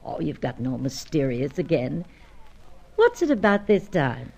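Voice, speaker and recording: Sassy, animated American adventuress. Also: female voice, sits low, normal speed, creaky, full character voice. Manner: sassy, folksy slang, teasing. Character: flirtatious, brassy, feisty American woman adventurer. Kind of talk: old-time radio serial acting. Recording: dull, lo-fi radio serial recording.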